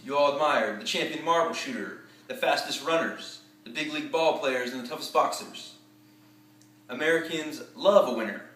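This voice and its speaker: A man performing a theatrical war-movie monologue as a tough, commanding American army general, emphatic and deliberate with dramatic pauses. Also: medium pitch, a bit bright, close to an everyday voice. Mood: proud, commanding. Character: tough, profane American army general rallying his troops. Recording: roomy echo, distant microphone.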